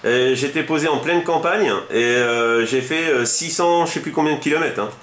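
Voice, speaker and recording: A man's voice: on the high side, normal speed, reverberant, noisy background. A man chatting casually as a radio hobbyist, rambling and enthusiastic, often repeating himself. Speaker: amateur CB radio operator.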